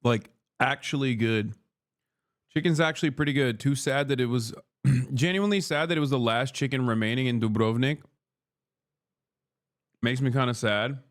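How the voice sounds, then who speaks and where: A man's voice: medium pitch, normal speed, pauses often. Profane, bantering man from Turkey. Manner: profane, bantering, reads chat aloud and argues with it. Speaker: streamer. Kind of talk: casual livestream chat while eating.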